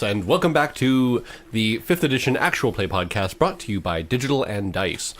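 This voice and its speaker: A man hosting as game master, narrating a tabletop fantasy game in a halting, descriptive way. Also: on the low side. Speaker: game master, podcast host.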